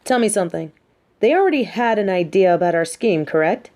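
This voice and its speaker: A woman playing a sardonic, scheming supervillain in a scripted audio roleplay, with a theatrical, measured, wry delivery and grudging sympathy. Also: lowish voice, expressive, darkish tone, lightly acted. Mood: probing, intrigued. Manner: theatrical, measured, wry, drops into muttered asides.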